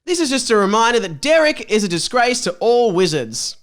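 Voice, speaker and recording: A man with an Australian accent and a high, lively voice that swings around in pitch. He chats casually as a podcast guest and turns jokey and theatrical when reading aloud. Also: brisk.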